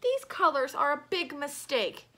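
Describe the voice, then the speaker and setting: An indignant jungle animal from a picture book, acted out by a woman. It complains emphatically in a high, swooping voice. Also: sing-song, unhurried, pushed and bright, full character voice. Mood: grumbling.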